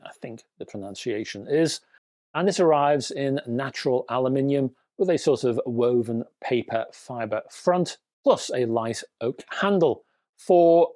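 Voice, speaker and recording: A man's voice: a little high, a little mellow, no echo. Male tech news presenter, conversational and wry, addressing viewers directly, recorded dry and close. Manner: conversational, rhetorical questions and wry asides.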